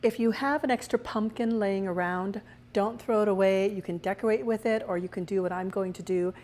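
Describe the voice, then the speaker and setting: A woman hosting her own cooking show, her voice a little raspy as she recovers from a cold, chatting through each step as she cooks. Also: medium pitch, a little mellow, slight background noise. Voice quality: slightly raspy. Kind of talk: casual cooking demonstration.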